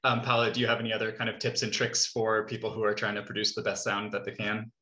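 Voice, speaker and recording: A man's voice: fairly low pitch, little pitch movement, fast talker, noisy background. A man in his thirties moderating a Q&A over a video call from a room at home. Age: 30s. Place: living room, indoors. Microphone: computer mic on a video call. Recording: roomy, echoing video-call sound.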